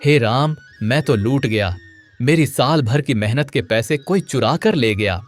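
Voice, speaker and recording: Mid-pitched village farmhand, acted by a man. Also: expressive, measured pace, faint music behind, close to an everyday voice. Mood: wailing, distressed. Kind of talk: dramatized moral story acting.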